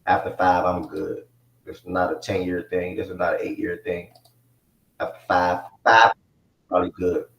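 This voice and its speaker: A man's voice: low voice, little pitch movement, normal speed, creaky, a bit bright. A man in his twenties hosting a live sports talk show in a loose, rambling way with plenty of fillers. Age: 20s. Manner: rambling, laid-back, many fillers. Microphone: mic not connected, picked up from a distance. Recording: echoey room, voice far from mic.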